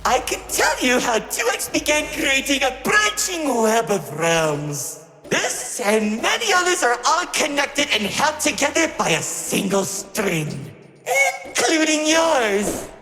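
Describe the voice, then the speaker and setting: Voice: sits high, big pitch swings, slow pace, bright, forceful tone, a little hiss or hum, full character voice. An actor playing a theatrical, unhinged masked showman villain in a video game, with a melodramatic and mocking delivery. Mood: mocking narration. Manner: hammy, mocking, swinging between grief and sarcasm. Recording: echoey hall reverb with music behind.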